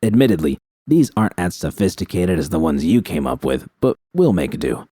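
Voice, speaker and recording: A man narrating an audiobook puts on a menacing male gang boss. The voice is deep and shadowy, with a close, dry sound. He leers and mocks, drawing out his sneering taunts. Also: very animated, dark tone, dry recording. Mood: smug. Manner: taunting, sneering, drawn-out talk. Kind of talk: audiobook character dialogue.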